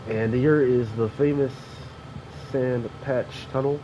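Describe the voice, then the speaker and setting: A man's voice: medium pitch, fairly even intonation, slow pace, mellow. A young man sniffling from allergies, rambling casually with fillers as he commentates a train sim game. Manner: rambling, loose, with frequent fillers and self-corrections. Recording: dull lo-fi mic with clear background noise.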